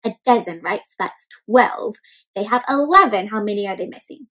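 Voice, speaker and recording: A young woman reading a picture book aloud to children, animated and acting out the characters while asking the kids questions. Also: mid-range voice, moderate pace, husky, poor audio. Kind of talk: interactive read-aloud for kids. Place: home room with decorated wall.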